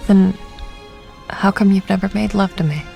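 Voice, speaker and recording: A woman's voice: medium pitch, normal speed, gravelly, mellow, soft background music. An actress playing an earnest young woman in love in a radio drama, emotional and insistent. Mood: hurt.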